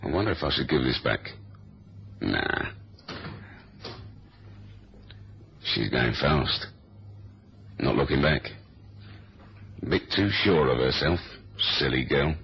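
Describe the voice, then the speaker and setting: A man's voice: very deep voice, animated, a little slow, gravelly, pauses often, slightly rough, full character voice. A man playing a cocky, wry British spy who chases women, acting and narrating in a laid-back, slangy way in a 1970s radio drama. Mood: wry, musing. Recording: dull, lo-fi old radio recording.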